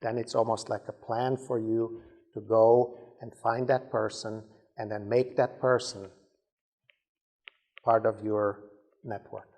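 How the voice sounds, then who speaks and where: Gravelly-voiced man giving a leadership talk. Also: lowish voice, level tone, takes it slowly, pauses often, darkish tone. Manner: conversational, stumbles and repeats words, acts out sample dialogue. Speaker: conference speaker.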